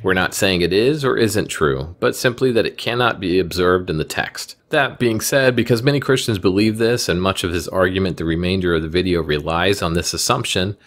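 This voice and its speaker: A man's voice: sits low, fairly quick, few pauses. A man hosting a commentary video, speaking in a careful, methodical way and reading scripture aloud.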